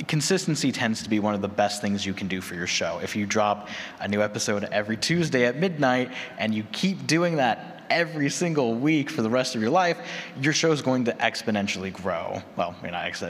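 A man's voice: fairly low pitch, lively intonation, fairly quick. Man on a panel, echoing hall. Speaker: panelist and fiction podcaster. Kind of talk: conversational panel talk. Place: large convention room with audience. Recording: echoey hall PA, noisy room.

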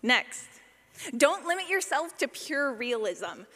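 A woman's voice: high-pitched, very animated, takes it slowly, pushed and bright, long reverb tail. A woman giving an informal talk as a sound designer, chatty, playful and self-deprecating.

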